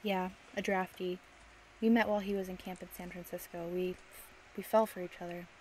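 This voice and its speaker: A woman's voice: lowish voice, fairly even intonation, a little fast, mellow, lightly acted. A woman plays a young war widow working in a factory. She is brusque and slangy, holding grief behind impatience. It is old-time radio drama acting, with faint noise on the recording. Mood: brusque, defiant. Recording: noisy amateur radio play recording.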